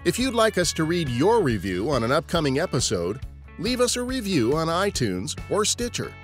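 A man's voice: fairly high pitch, wildly swooping pitch, moderate pace. An American man hosting a podcast, reading scripted narration. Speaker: podcast host. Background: guitar music.

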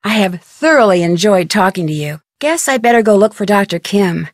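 A woman playing an inquisitive, polite and persistent young American amateur detective, speaking scripted adventure game dialogue in an earnest, expressive way. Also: mid-range voice, normal speed, no echo, studio quality, close to an everyday voice. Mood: polite, curious. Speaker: video game voice actress.

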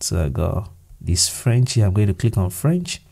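A Nigerian man with a low, gravelly voice talks through a screen-recorded software tutorial. His tone is mellow and dark, and he thinks aloud with easygoing fillers and restarts as he goes. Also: big pitch swings, moderate pace. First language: Yoruba. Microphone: voice-over to screen recording.

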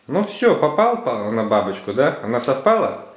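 A man's voice: medium pitch, very animated, moderate pace. A man, a father chatting casually with children, giving instructions and prompting them with questions. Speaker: father guiding his children through a craft. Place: living room at home. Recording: roomy indoor home recording.

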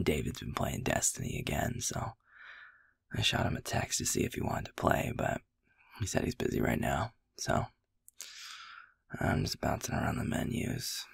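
Sweet young boyfriend, played by a man, intimate audio roleplay: very low, heavy fry, soft and halting. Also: no room sound, clean studio sound, full character voice. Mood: relaxed, amused. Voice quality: gravelly, breathy low voice. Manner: soft, breathy, halting and affectionate.

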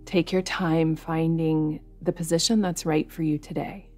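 Female meditation guide, deep register, flat soothing delivery, unhurried phrasing with pauses. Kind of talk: guided yoga nidra meditation narration. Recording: music behind the voice. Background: music.

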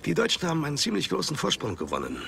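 An actor playing a hearty but wary Egyptian master digger, theatrical and emphatic, in a German film dub. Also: male voice, a little high, huge pitch swings, very fast, gravelly, bright, forceful tone, a little hiss or hum, exaggerated voice. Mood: earnest.

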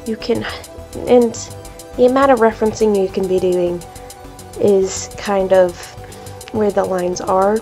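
Stuffed-up young woman, slow and gravelly. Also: mid-range voice, fairly even intonation, mellow, faint music behind. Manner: hesitant, many ums, slow and deliberate. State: stuffed up from a cold. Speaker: art YouTuber. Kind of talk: casual commentary while drawing. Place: at home, at a desk, drawing.

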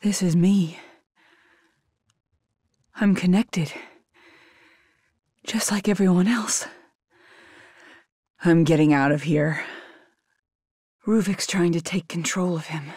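A woman's voice: lowish voice, takes it slowly, long pauses, a little mellow, breathy edge, dry recording, clean studio sound. An actress playing a tough, determined young woman agent in a survival horror video game, speaking in terse, guarded bursts. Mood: grim, resolute.

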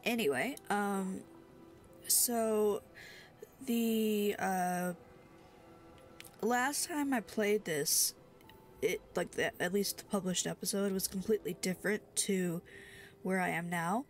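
A woman's voice: mid-range voice, slow pace, long pauses, soft background music. A young woman with a stuffy cold, rambling sleepily and full of fillers while playing a video game. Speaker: gaming streamer. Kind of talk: casual let's-play commentary.

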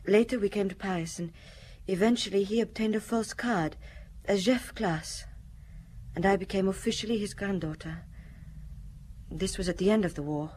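Radio drama actress: young refugee widow, mid-pitched, reflective, sad, halting, slight ambient noise behind her. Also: a little mellow, natural voice. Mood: sad, remembering. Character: sad, quiet young wartime refugee woman, now widowed.

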